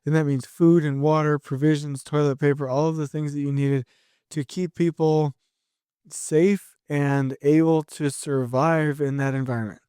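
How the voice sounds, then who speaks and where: Solo podcast host, a middle-aged man: eager, rambling, a bit hoarse from a sore throat, mellow smooth voice, dry close sound. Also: on the high side, normal speed, no room sound. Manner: energetic, rambling, many restarts.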